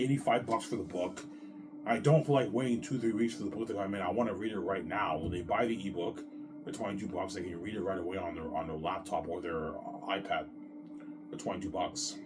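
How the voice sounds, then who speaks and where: A man talking casually and at length about his self-published book, boastful and repetitive, swearing now and then. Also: fairly low pitch. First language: English. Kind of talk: casual rambling monologue.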